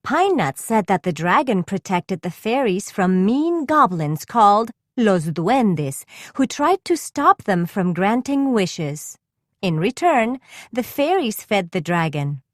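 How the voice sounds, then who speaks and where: Woman reading a kids story out loud, slow and super expressive, dry close sound. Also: mid-range voice, no echo. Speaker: children's storybook narrator. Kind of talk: scripted read-aloud narration for children.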